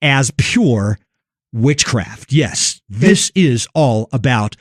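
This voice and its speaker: A man's voice: medium pitch, very animated, very slow, dry recording, studio quality. A man hosting a religious discussion, giving opinionated commentary in an emphatic, preacherly way that leans on key words.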